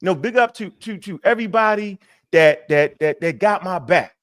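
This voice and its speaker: A man with a high voice co-hosts a live online talk, animated and full of street slang. He keeps tossing in asides to the people he is talking with. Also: moderate pace. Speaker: co-host. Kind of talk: casual live-stream chat.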